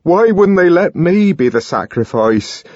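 A man's voice: mid-range voice, very animated, slow pace, breathy edge, dry recording, muffled recording, pitched above the performer's own voice, exaggerated voice. A man voicing an earnest, emotional young man who speaks in an intense, trembling way. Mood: impassioned.

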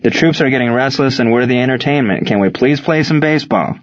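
A man's voice: mid-range voice, quick pace, no room sound, highs cut off, natural voice. A man voicing a businesslike, mildly impatient baseball umpire in an audiobook.

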